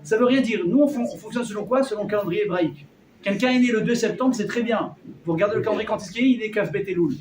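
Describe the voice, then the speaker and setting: Animated rabbi with a high voice. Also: male voice, fast, a crowd in the background. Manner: animated, jokey, quizzes the audience. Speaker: rabbi giving a class. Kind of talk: lively Torah class with audience. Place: hall with a live audience. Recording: echoey room, mic at a distance.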